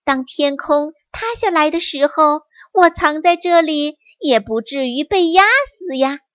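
A woman's voice: high-pitched, animated, measured pace, fairly bright tone, no echo, lo-fi sound, voice pushed up. A woman voicing a sly, scheming male fox in a children's story, coaxing and falsely casual.

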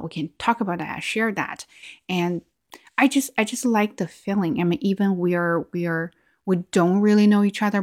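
Soft-spoken woman musing aloud. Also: on the low side. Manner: thoughtful, frequent restarts and self-corrections. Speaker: podcast host. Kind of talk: casual solo chat to listeners.

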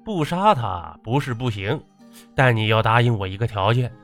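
A man's voice: fairly low pitch, sing-song, moderate pace, soft background music. A man voicing a short-tempered, lecherous battalion commander in an audiobook, swearing and barking his orders. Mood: leering, gloating. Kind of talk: audiobook character acting.

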